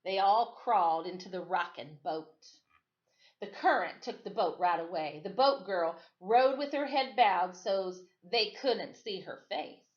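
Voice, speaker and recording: A woman reading a children's story aloud as expressive storytime narration. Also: mid-range voice, unhurried, muffled recording. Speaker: storytime reader.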